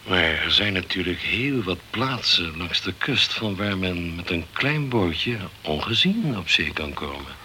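Polite official in a radio play, deep but very sharp voice, obliging, formal. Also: male voice, big pitch swings, unhurried, few pauses, a little hiss or hum, full character voice. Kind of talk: Dutch radio crime drama acting.

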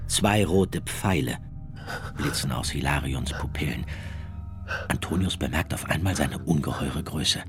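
A man's voice: a bass voice, unhurried, music in the background. A man delivering scripted, dramatic literary narration in a radio drama.

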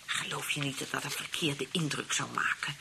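An actress playing a shrewd, impatient wife in a radio play, arch and prodding, with a Dutch accent from the Netherlands. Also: female voice, a bass voice, fairly even intonation, moderate pace, vocal fry, noisy background, full character voice. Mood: scheming.

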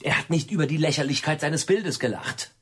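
A man's voice: a little high, a little fast, a bit bright, a little hiss or hum, natural voice. A man acting a sceptical friend in a radio play, questioning insistently and arguing over every word. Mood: argumentative. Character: probing, sceptical male friend who nitpicks every word.

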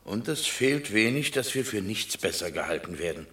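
An actor playing a plain-spoken, aggrieved Roman commoner in a classic radio play, blunt in manner. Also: male voice, fairly low pitch, very animated, moderate pace, fairly bright tone.

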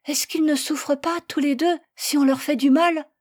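A woman's voice: high-pitched, fairly even intonation, moderate pace, darkish tone, pitched above the performer's own voice, close to an everyday voice. A woman voicing a tender-hearted, stubborn little boy who asks earnest, pleading questions.

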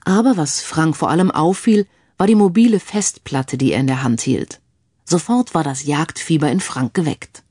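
A woman narrating a scripted crime audiobook in a measured, clearly read manner. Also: deep voice, expressive, darkish tone, dry recording, studio quality.

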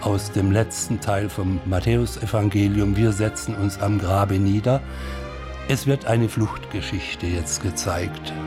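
A man gives reflective, unscripted commentary in halting phrases. His voice is low and very slow, and loud music plays behind it. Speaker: commentator on a film.

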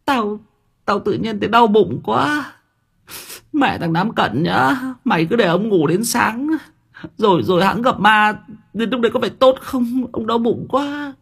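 A man's voice: high-pitched, measured pace, gravelly, fairly bright tone, breathy edge, pitched above the performer's own voice, exaggerated voice. A man voicing a scared young male student who whimpers and whines about a stomach ache. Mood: whimpering. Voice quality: whimpering, whiny.

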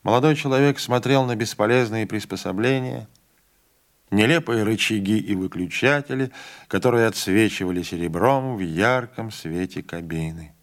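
A man narrating a literary audiobook in a measured, dramatic storytelling manner. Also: lowish voice.

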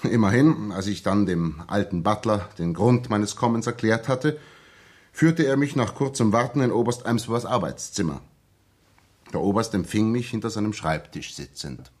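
An actor in a 1960s German radio drama playing an earnest young former soldier, polite but insistent. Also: male voice, lowish voice, normal speed, darkish tone, natural voice. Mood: earnest narrating. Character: earnest, persistent young ex-soldier seeking his friend.

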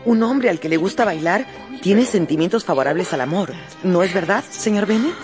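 A woman's voice: on the low side, lively intonation, normal speed, big-room sound, over music, lightly acted. A woman acting a fussy, gushing middle-aged mother in a radio drama, in a theatrical manner. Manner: theatrical, fussing.

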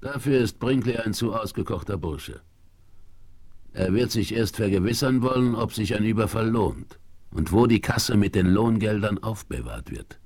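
An actor playing a shrewd, calm frontiersman in charge, deliberate and commanding, in a German audio drama. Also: on the low side, slightly rough. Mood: calm, cautious. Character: shrewd, calm frontiersman leader, cautious and decisive.

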